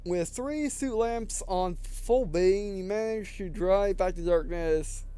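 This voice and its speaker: A man reading game text aloud and commenting casually as he plays, halting and stumbling over words, with some background noise. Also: sits high, dark tone, smooth. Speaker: gameplay video host.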